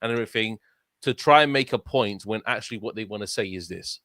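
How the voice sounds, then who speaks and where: A man's voice: on the low side, fast, a bit bright, dry recording, clean studio sound. A young man with a London accent, co-hosting a casual football podcast and talking earnestly, often tagging "yeah?" onto his points. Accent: London English. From London, England. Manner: earnest, rambling, repeats "yeah?" and restarts. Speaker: podcast co-host.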